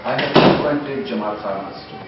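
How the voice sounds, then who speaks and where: A man's voice: medium pitch, normal speed. A man making his point in a heated discussion with earnest insistence, English not his first language. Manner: earnest, insistent, keeps cutting back in. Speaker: discussion participant. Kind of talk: heated debate. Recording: dull echoey recording from far off, faint background noise.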